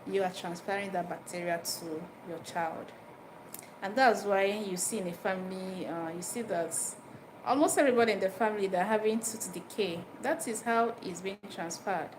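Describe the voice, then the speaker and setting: A woman with a Nigerian accent talks to her live viewers in an informal, instructive way. Her voice sits at a medium pitch, and she often repeats a phrase or turns it into a direct question. The recording has clear ambient noise behind her. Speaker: livestream host giving dental health advice. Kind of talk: informal livestream talk, chatting with viewers. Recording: noisy live stream with background ambience.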